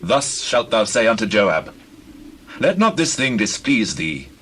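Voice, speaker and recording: A man's voice: fairly low pitch, big pitch swings, a little slow, fairly bright tone, a little hiss or hum. Man playing a commanding king, widely varied intonation. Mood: firm, reassuring. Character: commanding male king of ancient Israel. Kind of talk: dramatized scripture acting.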